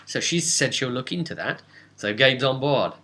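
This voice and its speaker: A man's voice: medium pitch, animated, normal speed, bright, forceful tone. A man, chatty and rambling, casually retelling a tabletop role-playing session as its game master and slipping into dialogue. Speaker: game master and vidcast host.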